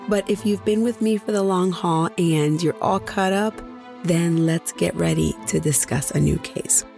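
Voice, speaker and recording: A woman hosting a true crime podcast, hoarse after nearly losing her voice, chatting directly with her listeners. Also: lowish voice, a little slow, a little mellow, soft background music. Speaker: podcast host.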